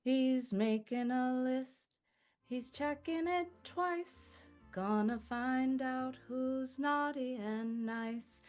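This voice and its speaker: A woman's voice: high-pitched, drawn-out words, a little mellow, soft background music. A woman singing a Christmas song during a live table read.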